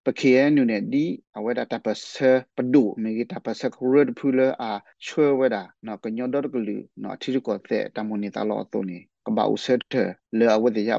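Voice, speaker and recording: Male radio commentator, unscripted, dark creaky tone, slightly lo-fi sound. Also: medium pitch, fairly even intonation, measured pace, few pauses, no room sound. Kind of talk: spontaneous spoken commentary on radio. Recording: slightly muffled shortwave radio programme audio.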